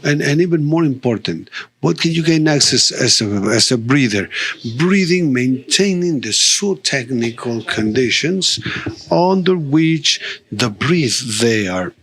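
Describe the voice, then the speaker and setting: An older man giving a formal conference lecture, halting and restarting as he goes, his voice a little worn. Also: medium pitch, expressive, fairly bright tone. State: losing his voice. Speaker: conference speaker.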